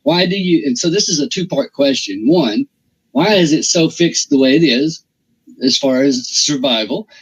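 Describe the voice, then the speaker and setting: A man's voice: on the high side, lively intonation, normal speed, slightly rough. A man hosting a casual interview, asking long, rambling questions full of restarts and fillers. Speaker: interview host. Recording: dull, lo-fi audio.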